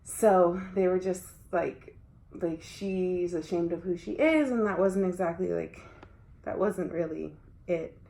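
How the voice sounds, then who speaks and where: A woman's voice: on the low side, a little slow, vocal fry, dark tone. A young adult woman talking casually about her school years, often using "like". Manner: conversational, frequent filler "like". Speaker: interviewee. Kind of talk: casual conversation. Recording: echoey room.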